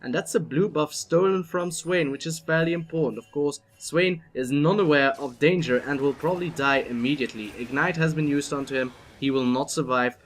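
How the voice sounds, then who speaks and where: A young man doing solo play-by-play commentary on a video game match, chatty and playful, rising to excited shouts on big plays. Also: fairly high pitch, brisk, few pauses, poor audio.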